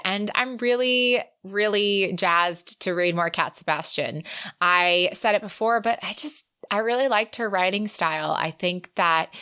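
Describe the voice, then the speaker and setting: A woman with a bright, smooth voice that sits a little low. She co-hosts a casual book podcast and talks with informal enthusiasm. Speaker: podcast co-host.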